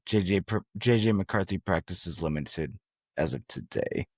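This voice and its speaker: Low voiced dude hosting a sports podcast, slangy bro talk, really dry sound. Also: male voice, normal speed, darkish tone, dry recording. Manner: slangy, bro-ish banter. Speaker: podcast host. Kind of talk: casual sports chat.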